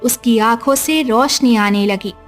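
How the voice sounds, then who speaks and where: A woman's voice: a little high, unhurried, faint music behind. A woman narrating a scripted moral story for a cartoon, as a storyteller.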